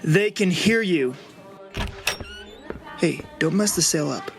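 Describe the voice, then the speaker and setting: An actor playing a weary, curt electronics store boss with a deadpan manner in an audio comedy. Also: mid-range voice, slow, creaky, soft background music. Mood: weary, annoyed. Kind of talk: audio comedy drama acting.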